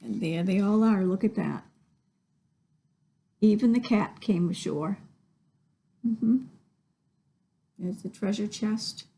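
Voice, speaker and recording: A woman's voice: mid-range voice, slow pace, vocal fry, long pauses, mellow, breathy edge. A woman reading a children's story expressively, with chatty asides to young listeners about the pictures. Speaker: library storytime reader. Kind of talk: picture-book read-aloud with chatty asides.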